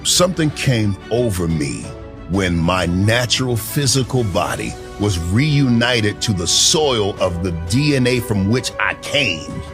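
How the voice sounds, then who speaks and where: A man telling a vivid personal story in an expressive, storytelling manner, played from a clip. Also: fairly low pitch, a little slow. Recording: clip with music underneath.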